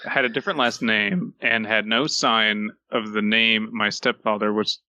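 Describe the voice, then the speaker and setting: Guy on a podcast, sharp bright voice, kinda sardonic, reads and chats, no room sound. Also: fairly low pitch, fairly even intonation, moderate pace, dry recording, lo-fi sound. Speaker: podcast co-host. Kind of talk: casual group banter and reading stories aloud.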